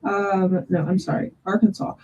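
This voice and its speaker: A woman hosting a webinar, putting questions to a guest with hesitant, halting phrasing over a dull video call microphone. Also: medium pitch, fairly even intonation, warm tone. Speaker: host, English teacher. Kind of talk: webinar interviewing, reading out audience questions.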